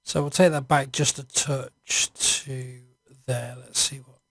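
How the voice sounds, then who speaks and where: A man narrating an informal screencast software walkthrough, hesitant and improvised as he thinks aloud. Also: medium pitch, fairly even intonation, takes it slowly, vocal fry. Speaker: software reviewer.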